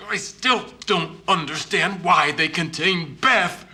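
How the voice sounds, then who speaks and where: Intense, sharp-voiced grieving widower, played by a man. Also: fairly high pitch, takes it slowly, heavily acted. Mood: distraught. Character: grieving widowed investigator, troubled and increasingly agitated. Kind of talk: found-footage horror monologue.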